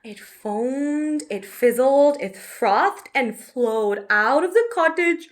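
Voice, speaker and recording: High, animated woman reading a children's story. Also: slow. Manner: dramatic, with gasps and stretched key words. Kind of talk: expressive read-aloud storytelling of rhyming picture book.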